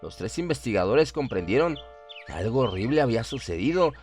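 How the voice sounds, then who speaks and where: A man's voice: mid-range voice, big pitch swings, brisk, darkish tone, soft background music. A man narrating a scripted horror story in a solemn, dramatic storytelling manner.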